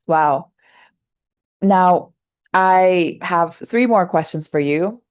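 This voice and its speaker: A woman's voice: low voice, a little mellow. A woman hosting an interview who laughs easily and speaks with many fillers. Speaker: interview host. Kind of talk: casual interview conversation.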